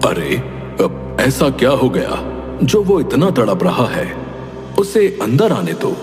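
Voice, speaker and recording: A man playing a just, fatherly king in an animated moral story, speaking gravely and with measured authority. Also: mid-range voice. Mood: concerned, commanding. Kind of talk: dramatic animated story dialogue. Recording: echoey palace-like reverb, faint music behind.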